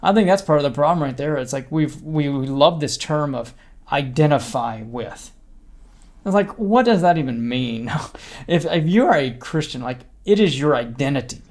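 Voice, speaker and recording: Man thinking aloud, haltingly. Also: fairly high pitch, expressive, darkish tone. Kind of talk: reflective podcast conversation.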